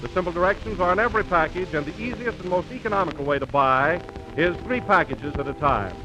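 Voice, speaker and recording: Male American announcer, high voice, polished 1930s sales read, muffled vintage radio sound with music. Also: animated, fast talker.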